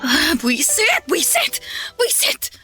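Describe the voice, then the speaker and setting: Irritable Filipino mother, radio drama; high-pitched, forceful, sharp-tongued, exasperated. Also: wildly swooping pitch, slow pace, faint music behind, full character voice. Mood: furious. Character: irritable, money-minded Filipino mother, indignant and scheming.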